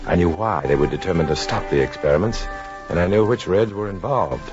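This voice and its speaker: A man's voice: sits low, brisk, music in the background, close to an everyday voice. An actor playing a defiant, sardonic undercover man with a dry, clipped manner in a 1950s radio drama. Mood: grave, narrating. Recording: noisy, dull 1950s radio broadcast.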